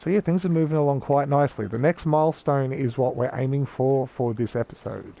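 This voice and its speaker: A young man, croaky from a cold, giving casual rambling commentary as he plays a city-building game. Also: medium pitch, a little fast, dark tone, lo-fi sound. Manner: chatty, rambling, self-correcting. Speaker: gaming YouTuber.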